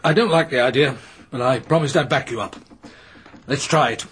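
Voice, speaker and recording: An actor playing a gruff, terse retired English army major in a radio mystery drama. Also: male voice, medium pitch, moderate pace, a bit bright, lightly acted. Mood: reluctant, curt. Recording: faint background noise.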